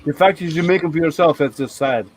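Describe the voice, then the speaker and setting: A man's voice: a little high, fast talker, a little hiss or hum. A man ribbing his friends with dry humor in a casual video-call chat. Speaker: hangout participant.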